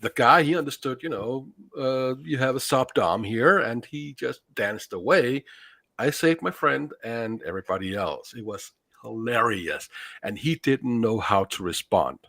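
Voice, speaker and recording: Man storytelling with lively, swinging intonation. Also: mid-range voice, a little slow, gravelly, no room sound. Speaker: podcast panelist. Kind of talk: casual personal storytelling.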